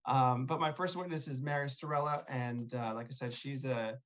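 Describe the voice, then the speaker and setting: A man's voice: mid-range voice, steady tone, moderate pace, a bit bright. A man speaking as an attorney at a zoning hearing over a video call, polite and hesitant with many fillers. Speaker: attorney for the applicant. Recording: dull lo-fi call audio.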